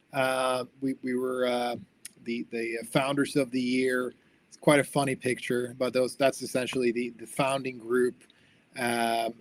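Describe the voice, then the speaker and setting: Upbeat man giving an online talk. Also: medium pitch. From born in Sweden, raised in the United States. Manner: friendly and upbeat, many restarts and repeated words. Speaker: guest speaker, company founder. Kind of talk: online seminar talk with slides. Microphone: video call.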